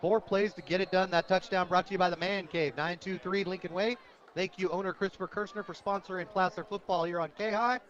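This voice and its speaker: A man's voice: sits high, steady tone, fairly quick, fairly bright tone. An American man doing live radio play-by-play of a football game as the announcer. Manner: reads sponsor plugs between plays. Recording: dull lo-fi outdoor radio broadcast.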